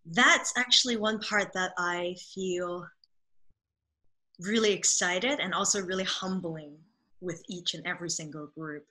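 Bright-voiced Cantonese-speaking woman on a video call. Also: on the low side, measured pace, low-quality recording. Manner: thoughtful, lots of ums and restarts, laughs easily. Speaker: dance movement therapist, guest. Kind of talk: casual interview over video call. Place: small room at home. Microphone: video call webcam mic.

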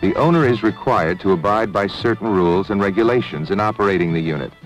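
A man narrates in a formal, measured documentary style. His voice sits low. Music plays behind him on a 1970s film soundtrack. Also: rough recording. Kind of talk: scripted newsreel-style narration. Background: background music.

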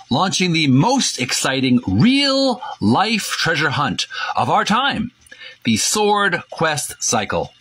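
A man hosting a casual narrated show-and-tell, partly reading aloud, in a halting way with repeats and self-corrections. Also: a little high, fairly bright tone, breathy edge. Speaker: video host.

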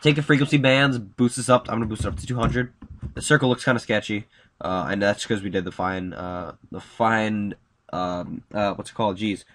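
Young guy, bit stuffy and nasal, lowish voice, chill upbeat tutorial talk w lots of ums. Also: steady tone, moderate pace, fairly bright tone. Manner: casual, upbeat, many fillers. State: congested from a cold. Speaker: tutorial host. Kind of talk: screen-recorded software tutorial.

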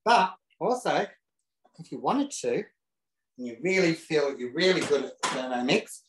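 A man's voice: fairly high pitch, animated, normal speed. A man presenting a casual live cooking demonstration in a home kitchen, camp and chatty with cheeky asides. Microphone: self-set camera. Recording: roomy kitchen echo.

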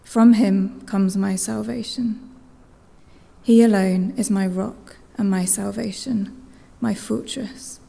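A young woman reading scripture slowly and in measured tones into a stand mic in a church hall. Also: medium pitch, fairly even intonation, vocal fry, mellow. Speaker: scripture reader. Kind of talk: slow liturgical reading. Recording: long hall reverb, faint noise.

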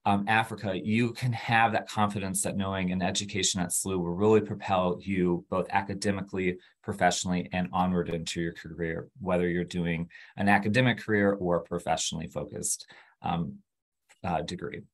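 A man's voice: low-pitched, level tone, normal speed. A man hosting a university webinar over a video call, upbeat with frequent fillers. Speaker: webinar host, admissions. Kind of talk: webinar presentation.

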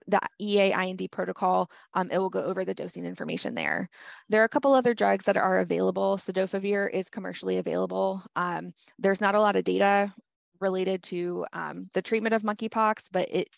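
A woman's voice: mid-range voice, vocal fry, no echo, clean studio sound. A woman presenting a medical grand rounds lecture on treatment guidance, speaking practically with frequent "um" fillers. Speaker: health department presenter.

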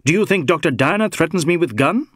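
A man voicing an insistent, snappish Indian police inspector in an audiobook, firing out brisk, demanding questions. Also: fairly high pitch, lively intonation, a bit bright, dry recording, clean studio sound, voice pushed up. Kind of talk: audiobook character dialogue.

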